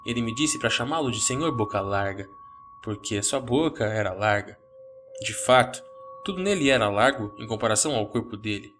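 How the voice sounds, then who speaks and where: A man's voice: on the low side, lively intonation, normal speed, music in the background. A man with a Brazilian accent, speaking as the narrator and host of a horror story podcast.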